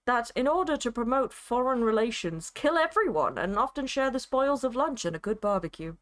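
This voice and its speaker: Higher-voiced woman giving a theatrical reading. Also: lively intonation, moderate pace, fairly bright tone. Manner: actorly, breaking into amusement at the absurd text. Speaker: streamer performing a dramatic reading. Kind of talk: theatrical dramatic story reading.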